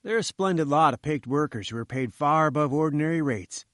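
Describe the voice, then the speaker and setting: A man's voice: a little high, animated, a little fast, fairly bright tone, no room sound, clean studio sound. A man doing a breezy young journalist. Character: brisk young male journalist.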